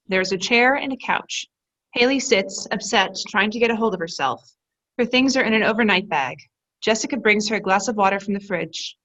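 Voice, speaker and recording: A woman introducing a play reading and reading its stage directions in a clear, measured way. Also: medium pitch, pushed and bright, rough recording. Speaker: narrator.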